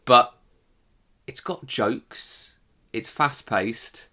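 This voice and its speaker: A man's voice: medium pitch, very slow, pushed and bright. A man co-hosting a podcast, chatting casually and informally in review style, with the odd swear word. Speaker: podcast co-host.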